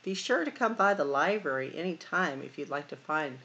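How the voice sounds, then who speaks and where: A middle-aged woman hosting a library storytime, reading picture books aloud in a deliberate, expressive way for young children. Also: low-pitched, dull audio. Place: small room at home or office, indoors.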